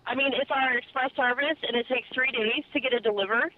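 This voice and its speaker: A woman's voice: high-pitched, fairly quick. A woman working as a shipping customer service agent, talking on a phone call. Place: on the other end of a phone call. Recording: muffled narrowband phone line.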